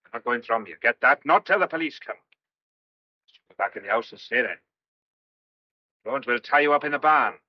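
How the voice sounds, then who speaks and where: An actor playing a hostile local gang leader, giving curt threats and taunts in a radio thriller. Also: male voice, medium pitch, lively intonation, normal speed, long pauses, no room sound, lightly acted. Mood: threatening. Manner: curt, threatening, clipped commands. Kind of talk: old-fashioned radio thriller acting. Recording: dull, lo-fi radio drama sound.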